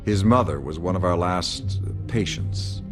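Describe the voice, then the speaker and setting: An actor playing a corrupt older mayor in a video game drama, speaking with smooth, pompous condescension. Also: male voice, low-pitched, a little slow. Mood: confiding. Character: smug, corrupt older male mayor and power broker. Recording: music behind the voice.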